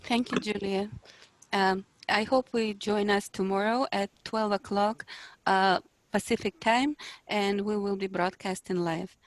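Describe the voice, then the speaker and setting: A woman with a medium-pitched voice co-hosts a livestream. She talks informally to viewers, and her voice sounds dry, with little room echo. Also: fairly even intonation, measured pace. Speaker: co-host closing the broadcast.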